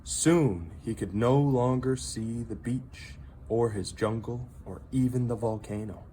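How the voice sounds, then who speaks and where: A reader narrating a children's story aloud, with dramatic, drawn-out emphasis on key words. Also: medium pitch, warm tone, a little hiss or hum.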